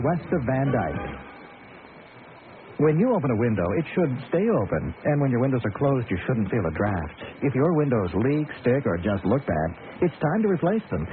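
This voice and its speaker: A man's voice: medium pitch, noisy background. An American man reading scripted radio commercials as an announcer. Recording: thin narrow-band radio, music behind. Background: music.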